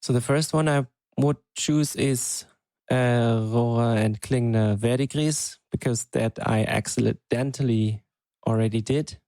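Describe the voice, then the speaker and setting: A man co-hosting a podcast, chatting casually and thinking aloud in an unhurried, hesitant way. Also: medium pitch, warm tone, no echo. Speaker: podcast co-host. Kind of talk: casual chat.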